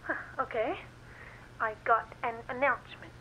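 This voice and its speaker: A woman's voice: a little high, moderate pace, sharp tone. A woman playing a bossy, scolding old small-town wife on a phone call in a comic radio drama. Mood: determined. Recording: dull phone line, faint noise.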